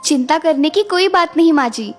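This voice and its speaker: A woman voicing a gentle, dutiful and determined young wife in a story cartoon, sweet and earnest with melodrama. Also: high voice, animated, normal speed, soft background music. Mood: reassuring, happy. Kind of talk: Hindi moral-story cartoon dubbing.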